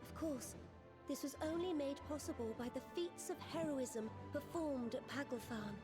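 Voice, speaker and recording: Woman acting stately, high-voiced female ruler over music. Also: moderate pace, lightly acted. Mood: solemn, gracious. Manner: formal, stately, archaic wording. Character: dignified, resolute female ruler addressing her allies. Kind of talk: scripted video game voice acting. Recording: game audio under clear music.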